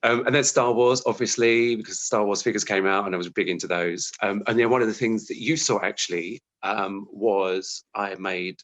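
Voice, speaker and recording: Male interview guest, British, on the lower side in pitch, conversational and modest about himself. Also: moderate pace, hardly any pauses, fairly bright tone.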